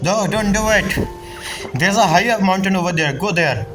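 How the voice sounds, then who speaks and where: A man giving casual let's-play commentary as a game streamer, chatty and informal, with halting phrasing. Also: sits high, poor audio. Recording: game music behind the voice. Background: game music.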